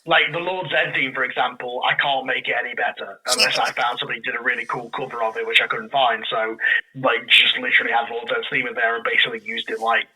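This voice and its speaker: Bright, forceful man in animated chat. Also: medium pitch, quick pace, rough recording. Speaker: guest, audio drama creator. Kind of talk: casual enthusiastic chat.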